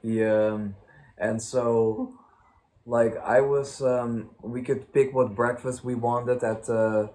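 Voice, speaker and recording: A young man telling anecdotes in casual chat, halting and rambling as he goes. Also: mid-range voice, fairly even intonation, mellow. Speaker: host of a story time channel.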